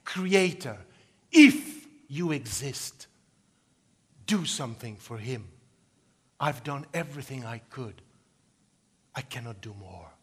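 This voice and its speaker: A storyteller voicing a patient village woman in a hushed, near-whispered voice, dropping well below his usual pitch. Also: lively intonation, slow pace, long pauses, slightly rough. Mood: pleading prayer. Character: patient, hopeful village woman, speaking softly.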